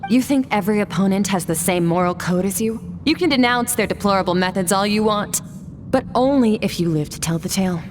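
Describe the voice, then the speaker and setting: An actress playing a cool, stoic sword master in a video game, composed, blunt and measured as she trains a pupil. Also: medium pitch, music in the background, lightly acted. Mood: stern.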